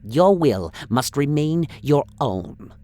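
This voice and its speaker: Man voicing a slow, croaking alien scribe, solemn. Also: on the high side, big pitch swings, mellow, voice pushed up. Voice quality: croaking, burbling. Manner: halting, solemn third-person speech. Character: humble, earnest alien scribe, pleading and solemn. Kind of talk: radio play acting.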